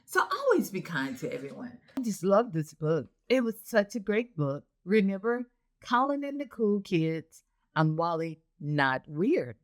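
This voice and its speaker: A woman hosting a children's storytime lesson, encouraging and instructive, speaking directly to young children with emphatic, deliberate enunciation. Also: lowish voice, big pitch swings. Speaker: children's read-aloud host and teacher.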